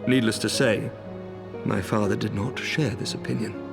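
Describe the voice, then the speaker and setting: Noble knight commander, British accent, measured formal speech, earnest and courteous, fantasy game, music underneath. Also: male voice, fairly low pitch, steady tone, creaky. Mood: earnest resolve. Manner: measured, formal, stately oratory.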